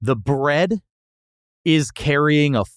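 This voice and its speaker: A man's voice: on the high side, slow pace, no echo. A man hosting a casual, comedic podcast, trading banter as he reviews restaurants.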